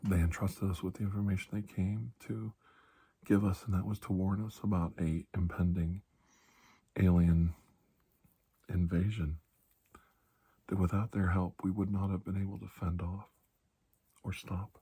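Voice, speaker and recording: A man playing a calm, reassuring doctor in an ASMR roleplay, speaking softly and breathily, often close to a whisper, with a measured, halting delivery. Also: low voice, full character voice. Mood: solemn, hushed. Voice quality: soft, breathy, husky, often near whisper. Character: calm, reassuring male doctor treating a patient. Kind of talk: ASMR roleplay, hushed storytelling.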